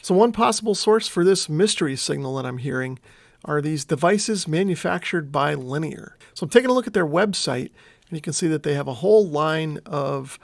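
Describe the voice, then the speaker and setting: Male hobby video presenter, slightly high voice with heavy vocal fry, explanatory and unassuming, talking through a demonstration one step at a time. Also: expressive, moderate pace, no room sound, studio quality. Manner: step-by-step, tentative non-expert explaining. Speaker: radio hobbyist video host. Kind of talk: explanatory screen walkthrough.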